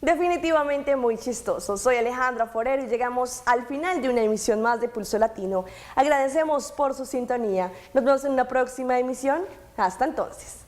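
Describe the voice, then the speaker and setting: A woman's voice: high voice, brisk, darkish tone. A woman presenting scripted television news as host and reporter.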